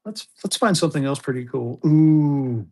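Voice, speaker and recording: A man hosting a live-stream auction of paper money, chatty, jokey and excitable. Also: fairly high pitch, moderate pace. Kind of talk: casual live-stream selling chat.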